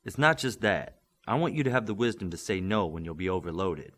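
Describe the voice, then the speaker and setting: A man's voice: sits low, animated, brisk, a bit bright. A man playing a patient, firm superhero mentor in an audiobook, coaching and instructing steadily. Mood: instructive.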